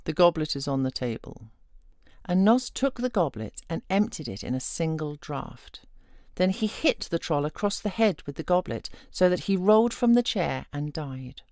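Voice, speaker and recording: Expressive low-voiced woman storyteller. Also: moderate pace, dry recording, studio quality. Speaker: storyteller reading a fairy tale.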